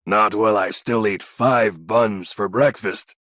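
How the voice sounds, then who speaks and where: A man's voice: on the low side, unhurried, fairly bright tone, dry recording, clean studio sound. A man playing a hearty, easygoing old veteran in a game. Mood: good-humored. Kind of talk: scripted game voice lines.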